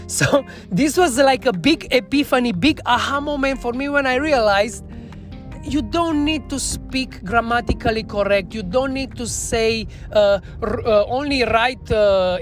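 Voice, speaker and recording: A woman's voice: fairly high pitch, animated, measured pace, soft background music. A woman with a Romanian accent, a native Romanian speaker talking in English, telling a candid, self-reflective story as a podcast guest. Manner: earnest, self-reflective, non-native phrasing. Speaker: bar trainer, podcast guest.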